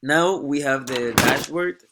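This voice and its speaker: A man's voice: mid-range voice, heavy background noise. Young man presenting while sick. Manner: halting, often repeats words before going on. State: sick, coughing. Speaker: international student presenter. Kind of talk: class presentation walking through a data dashboard.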